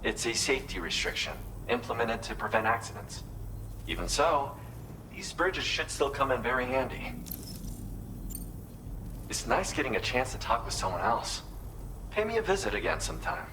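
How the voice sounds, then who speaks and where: A man's voice: medium pitch, big pitch swings, moderate pace, long pauses. An actor playing a wistful, proud architect in a video game, speaking earnestly and reflectively as he explains his craft. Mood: informative, friendly. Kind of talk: video game cutscene dialogue. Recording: clear background noise under the voice.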